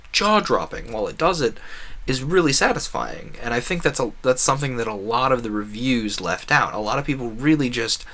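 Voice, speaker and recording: Male game streamer, bright forceful voice at middle pitch, earnest and opinionated, casual rambling delivery. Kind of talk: casual gaming stream commentary.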